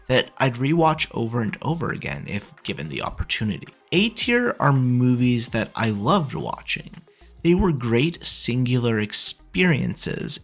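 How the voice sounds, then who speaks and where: A man's voice: mid-range voice, fairly bright tone. A man narrating a scripted video review in a casual, opinionated way. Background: background music.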